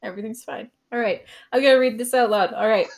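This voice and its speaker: A woman's voice: on the high side, fast. A woman in a casual chat, reacting expressively and giggly to a story as a fellow tabletop player.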